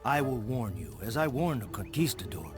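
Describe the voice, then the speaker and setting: Man playing a grave, expressive Inca prisoner. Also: medium pitch, normal speed, music in the background, lightly acted. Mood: ominous warning. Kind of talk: video game cutscene acting.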